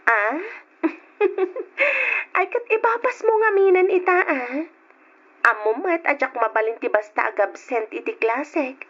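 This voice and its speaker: A woman's voice: high voice, expressive, a little slow, a bit bright. A woman playing a cheerful young student. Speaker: student character. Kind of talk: radio drama acting. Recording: dull lo-fi radio recording.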